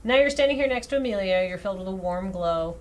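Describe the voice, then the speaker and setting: A woman's voice: medium pitch, expressive, fast, highs cut off. A woman streaming a game, reading a story aloud expressively and dropping in wry, self-deprecating casual asides. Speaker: streamer reading out a dating sim visual novel. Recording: clearly audible background noise.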